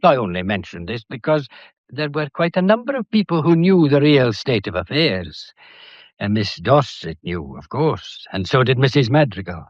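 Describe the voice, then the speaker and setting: An actor playing a shrewd, methodical police detective in a British period mystery drama, courteous and lecturing, with a faintly theatrical delivery. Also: male voice, medium pitch, sing-song, moderate pace, no room sound, highs cut off. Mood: pointed, deliberate. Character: shrewd, methodical male police detective laying out a murder case.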